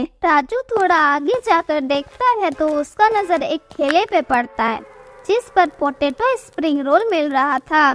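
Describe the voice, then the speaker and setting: A female narrator with a clearly high voice. She reads a scripted cartoon moral tale as a storyteller. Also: a bit bright.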